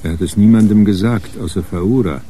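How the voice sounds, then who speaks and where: A man's voice: fairly low pitch, fairly quick, warm tone, lightly acted. A man narrating a radio crime drama from a script, as a sober storyteller.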